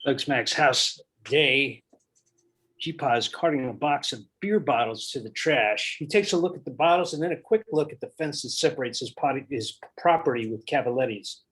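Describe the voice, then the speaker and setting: A man's voice: mid-range voice, normal speed, a bit bright, breathy edge. A man reading stage directions at a table read in a matter-of-fact way.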